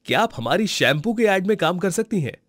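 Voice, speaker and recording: A woman voicing an admiring, persuasive male director, eager and flattering, in a story. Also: fairly low pitch, brisk, dry recording, studio quality, pitched below the performer's own voice.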